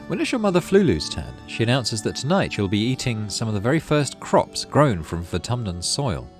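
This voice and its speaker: A man's voice: mid-range voice, very animated, normal speed, faint music behind. A man giving casual let's play commentary, reading story text aloud and murmuring to himself as he decides. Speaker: gaming channel host.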